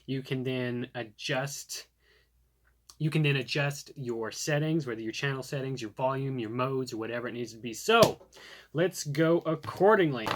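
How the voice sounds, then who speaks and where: Man, medium-pitched voice, casual product reviewer, chatty, thinks aloud while demonstrating. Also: normal speed.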